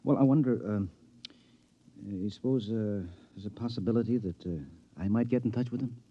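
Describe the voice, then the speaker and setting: An actor playing a skeptical married friend, hesitant and full of fillers, with a husky voice, in a 1950s radio drama. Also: male voice, fairly low pitch, brisk, no echo, dull audio, close to an everyday voice. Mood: coaxing. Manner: doubtful, hesitant, many uh fillers. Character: skeptical married friend, lodge buddy, male.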